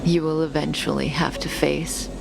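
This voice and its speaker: An actress playing a cool, enigmatic, teasing female schemer in a video game, with a languid, softly sardonic delivery and a velvety voice. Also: deep voice, fairly even intonation, moderate pace, gravelly, background noise. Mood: grave, measured. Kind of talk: scripted video game character dialogue. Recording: studio voice over game music.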